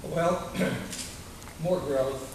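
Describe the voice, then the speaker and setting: A man, the town mayor, answering candidate questions in a plain, halting way. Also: on the high side, creaky. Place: community center hall. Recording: echoey hall from far off, noisy.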